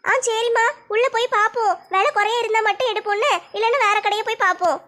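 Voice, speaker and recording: A gentle teenage girl, voiced by a woman, pleads softly. Her voice is very high and slightly brighter than the performer's usual one. Her delivery is modest and coaxing. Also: steady tone, fast talker. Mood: pleading. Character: teenage daughter, modest and loving.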